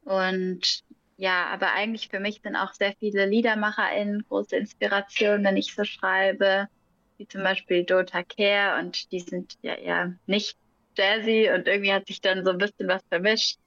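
A woman's voice: medium pitch, moderate pace, no room sound. Young woman singer chatting loose in an interview, laughs a lot, dull dry mic. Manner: relaxed, laughs often, searching for words. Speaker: band singer, guest. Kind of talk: casual interview talk.

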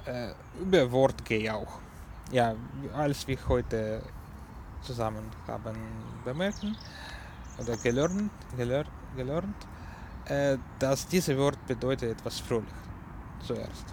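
A man with a Ukrainian accent hosts a radio music show, speaking slowly in a mid-pitched voice. He talks in halting, non-native German, searching for words and restarting often. A clear steady noise sits behind his voice. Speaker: community radio host. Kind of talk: casual radio music talk.

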